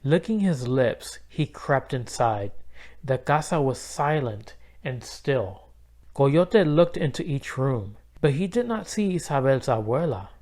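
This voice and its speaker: A man reading a children's storybook aloud as an expressive narrator. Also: medium pitch, slow. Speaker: storybook reader.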